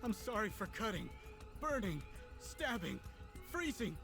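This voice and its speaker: A woman's voice: medium pitch, big pitch swings, measured pace, creaky, music in the background. A woman voicing a desperate, frantic attacker who begs for mercy in a game drama. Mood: terrified, pleading. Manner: frantic, pleading.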